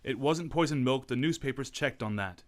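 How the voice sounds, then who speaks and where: An actor playing a calm, thoughtful gentleman detective in a radio drama. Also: mid-range voice, close to an everyday voice. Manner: measured and thoughtful.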